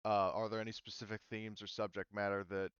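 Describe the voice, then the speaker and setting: Low, clear-voiced male game master. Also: level tone, quick pace, fairly bright tone, no room sound, highs cut off. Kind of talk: casual explanatory group discussion before a tabletop game. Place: online voice call.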